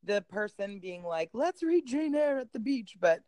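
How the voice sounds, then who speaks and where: A woman's voice: on the high side, lively intonation, moderate pace, a little mellow, no room sound. A Canadian-accented woman guest on a podcast, born in Poland and raised in Canada, talking casually with easy laughs. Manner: chatty, laughs easily, self-deprecating. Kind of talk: casual conversational chat. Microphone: remote call.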